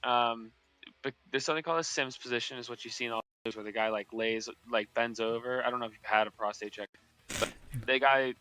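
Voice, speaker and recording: A man's voice: mid-range voice, bright, forceful tone, rough recording. A young man telling a story casually on a stream, rambling with many restarts and fillers and often breaking into laughter. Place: indoors at a streaming setup.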